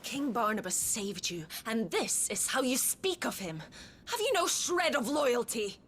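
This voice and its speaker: A woman's voice: fairly high pitch, sing-song, unhurried, fairly bright tone, husky, full character voice. An actress playing a proud, scheming noblewoman in a game cutscene, haughty and mocking. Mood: indignant.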